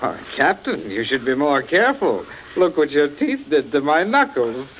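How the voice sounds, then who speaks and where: A man's voice: fairly high pitch, sing-song, normal speed. An actor playing an eager, casually cruel henchman, breezy and taunting, in a vintage radio suspense play. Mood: mocking. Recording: tinny, hissy old radio broadcast.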